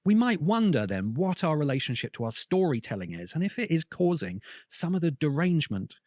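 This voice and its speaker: A man delivering an essayistic podcast monologue, measured, articulate and emphatic, as a host and critic. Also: on the high side, big pitch swings, darkish tone, no echo, clean studio sound.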